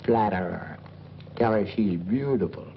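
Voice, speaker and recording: An actor playing a boastful, wheedling small-time schemer in an old film comedy, with folksy, ungrammatical talk. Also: male voice, on the low side, lively intonation, a little slow, gravelly. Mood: boastful, cocky. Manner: folksy, ungrammatical, blustering bragging. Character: boastful, wheedling boarding-house man, middle-aged, small-time schemer. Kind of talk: 1940s film comedy acting. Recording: muffled, noisy old film soundtrack.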